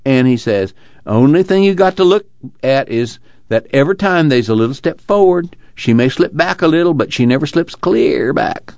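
A narrator plays an earnest organizer in an audiobook. His voice is pitched high and swings eagerly as he pleads and preaches in folksy dialect. The sound is close and dry, slightly dull. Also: expressive, normal speed, a little mellow, no room sound. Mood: weary, reflective. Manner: rural uneducated American dialect, pleading and preaching. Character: earnest, excitable, weary labor organizer, folksy man.

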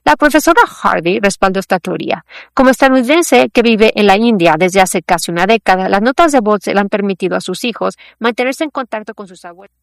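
A woman reading a news feature aloud in an even, measured way. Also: mid-range voice, creaky, dry recording. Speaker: news article reader.

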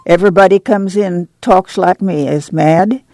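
A tired but dignified old farm mother, put on by a male reader, her voice raised high with a gravelly, creaky edge. She talks in a soft, firm drawl. The reading is close and dry, with no room sound. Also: moderate pace, no room sound. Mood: gently probing. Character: weary, proud older farm mother, gentle but firm. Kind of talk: audiobook character voice.

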